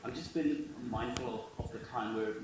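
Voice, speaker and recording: A man moderating a talk, calmly managing time and questions. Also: medium pitch, normal speed, noisy background. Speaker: event moderator. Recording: distant echoey hall.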